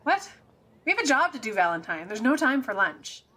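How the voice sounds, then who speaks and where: Woman as a bright, sassy detective's sidekick. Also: on the high side, very animated, husky, full character voice. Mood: exasperated. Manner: teasing, incredulous, brisk. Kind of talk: audio drama acting.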